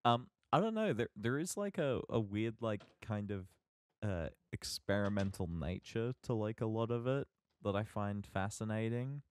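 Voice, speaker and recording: A man co-hosting a podcast, chatting casually about media in a halting, emphatic, sweary way. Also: lowish voice, lively intonation, a little mellow, dry recording. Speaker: podcast co-host.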